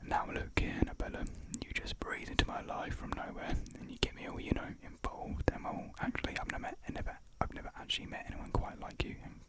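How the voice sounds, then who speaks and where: A whispering reader voicing a flustered, polite English gentleman on the run. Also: fast talker, hardly any pauses, close to an everyday voice. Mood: flustered, smitten. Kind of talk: ASMR whispered script reading.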